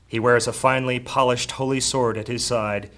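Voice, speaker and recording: A man's voice: mid-range voice, fairly even intonation, normal speed, fairly bright tone. A man narrating a scripted fantasy story in the present tense.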